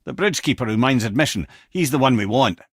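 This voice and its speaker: An actor playing a wise, witty old Scottish storyteller and advisor in a video game, speaking in an eloquent, theatrical and wry way. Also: male voice, mid-range voice, lively intonation, fairly quick, breathy edge, no echo, studio quality. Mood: advising.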